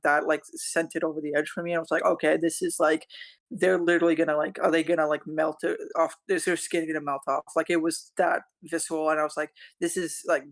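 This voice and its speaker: A man's voice: a little high, fairly bright tone. A man taking part in a casual group discussion, giving enthusiastic, rambling feedback peppered with "like". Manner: enthusiastic, rambling, filler-heavy with "like". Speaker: workshop participant.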